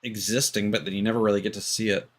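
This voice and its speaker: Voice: low voice, little pitch movement, quick pace. A game streamer giving casual gameplay commentary, rambling and musing aloud. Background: video game music.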